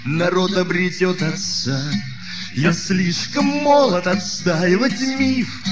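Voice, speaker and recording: Over prominent plucked guitar, a man sings a bard song in a high voice. The phrasing is slow and drawn out. The recording is somewhat dull and lo-fi. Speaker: singer-songwriter. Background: plucked acoustic guitar.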